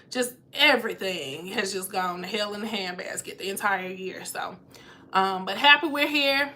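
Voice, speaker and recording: A chatty woman, her voice bright and a little high. She talks casually to her viewers and lets her sentences fade softer at the ends. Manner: chatty, uses y'all, trails off quieter at sentence ends. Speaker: vlogger and group cruise organizer. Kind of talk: casual chat to camera.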